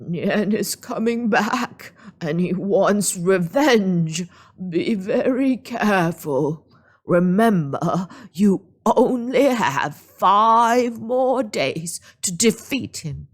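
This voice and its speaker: A mysterious magical warrior from a storybook speaks a grave, slow warning, voiced by a woman reading aloud. The voice stays lively in its rise and fall, close to the reader's own. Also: mid-range voice, husky, full character voice.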